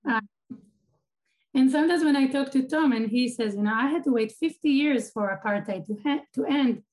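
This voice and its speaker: A woman's voice: high-pitched, moderate pace, a little mellow, poor audio. Thoughtful Israeli woman, Hebrew native. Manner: thoughtful, restarts sentences, searching for words. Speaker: guest, academic and activist. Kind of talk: conversational interview answer.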